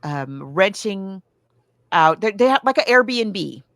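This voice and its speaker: A woman's voice: mid-range voice, sing-song, slow pace, a bit bright, no room sound, studio quality. A woman hosting a podcast, casually discussing books and films in a chatty, enthusiastic way with emphatic turns of phrase. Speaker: podcast host. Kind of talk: casual book and film discussion.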